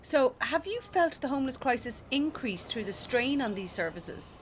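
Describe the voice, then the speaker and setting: An actress playing a composed, polite radio reporter in a radio drama, speaking in a measured way. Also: female voice, high-pitched, big pitch swings, slight background noise. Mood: curious, sympathetic. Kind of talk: radio play acting, interview and news report.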